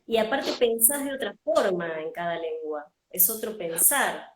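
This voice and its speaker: A woman's voice: on the low side, expressive. Curious Argentine woman hosting, roomy sound. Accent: Argentine Spanish. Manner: curious, probing, quick follow-up questions. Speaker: interviewer, host. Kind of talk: conversational interview.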